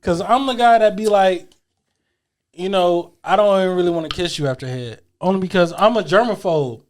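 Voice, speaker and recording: A man's voice: high-pitched, brisk. A man in his thirties talking in laid-back podcast banter, often checking in with "you feel me". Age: 30s. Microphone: camera audio.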